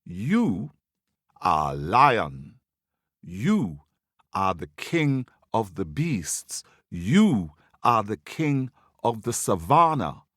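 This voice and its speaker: A man's voice: fairly low pitch, very animated, very slow, fairly bright tone, no room sound, studio quality, exaggerated voice. A man voicing an indignant monkey in a folktale, scolding and spelling things out emphatically. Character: indignant scolding male monkey, rival of the squirrel.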